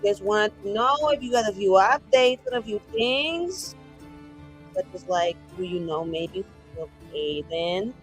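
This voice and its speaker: Woman playing a ttrpg, casual n rambly, average pitch, faint music behind her. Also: unhurried, rough recording. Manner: chatty, informal, rambling. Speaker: player in a tabletop roleplaying game. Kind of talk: casual improvised in-character message and game chat.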